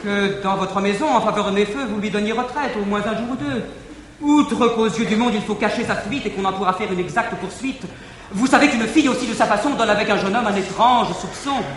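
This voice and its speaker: Man as ardent young lover, high voice, classical verse declamation, roomy old theatre recording, faint hiss. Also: natural voice. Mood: pleading. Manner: rapid, excited storytelling declamation. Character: ardent young male lover, breathless and earnest. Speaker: stage actor. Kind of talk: classical verse stage acting. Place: large theatre stage.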